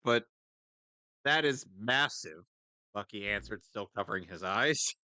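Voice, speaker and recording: A man's voice: on the low side, lively intonation, a little slow, sharp tone, smooth, dry recording. A man narrating a comic script in a mock-dramatic way with asides, as a co-reader on a live stream.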